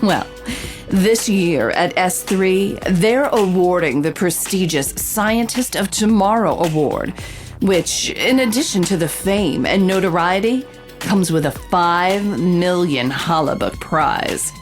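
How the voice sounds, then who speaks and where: An actress playing a confident investigative reporter hosting a true-crime podcast, with theatrical, knowing scripted delivery. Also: female voice, lowish voice, lively intonation, takes it slowly, soft background music. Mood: intriguing, knowing. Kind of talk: scripted dramatic podcast narration and interviewing.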